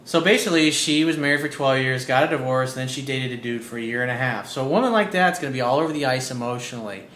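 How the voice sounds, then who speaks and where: A man working as a dating coach, giving blunt, profane advice as he reads out listener emails. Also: fast talker. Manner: blunt and profane, animated, repeats key points. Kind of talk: casual advice monologue reading out emails. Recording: roomy echo with faint low rumble.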